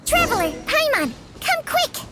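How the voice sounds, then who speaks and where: A woman voicing a serious, earnest young female video game character with a deliberate way of speaking. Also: extremely high pitch, very animated, a bit bright, soft background music, full character voice. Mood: urgent.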